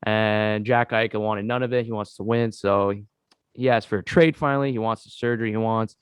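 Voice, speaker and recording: A man's voice: fairly low pitch, fairly even intonation, brisk, clear, resonant tone, no echo, studio quality. A man hosting a casual sports podcast, congested from a cold, rambling with lots of fillers as he talks to his co-host. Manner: rambling, many "uh" fillers, keeps addressing co-host.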